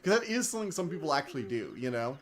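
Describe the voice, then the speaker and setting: A man streaming a video game, chatting excitably and reading the game text aloud, laughing often, with a slightly whiny voice. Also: fairly high pitch, very fast. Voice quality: self-described whiny voice. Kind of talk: casual let's-play commentary, reading game text aloud. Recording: game music behind.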